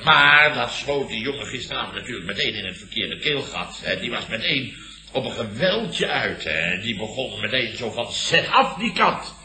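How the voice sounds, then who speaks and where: An actor playing a blustering, self-important middle-aged boss who tells indignant tall tales emphatically in a Dutch radio comedy. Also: male voice, mid-range voice, big pitch swings, moderate pace, pushed and bright, slight background noise. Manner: emphatic, ends phrases on questioning tags. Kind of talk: 1960s-70s Dutch radio comedy acting. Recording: roomy old radio comedy recording.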